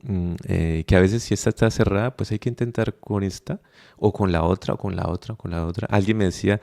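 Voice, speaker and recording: A man's voice: low-pitched, brisk, creaky, mellow. A young adult man with a Colombian accent who is a guest on a podcast, speaking reflectively and thinking aloud with many fillers and restarts. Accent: Colombian Spanish. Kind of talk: conversational interview.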